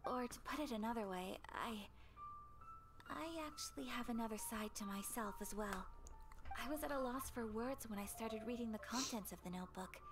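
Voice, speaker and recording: A woman acts out a refined young noblewoman from a game, with a fairly high, soft and slightly breathy voice. She is earnest, polite and reserved, choosing words with formal care. Music plays clearly behind her. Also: normal speed, lightly acted. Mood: reflective. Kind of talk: English game dub acting.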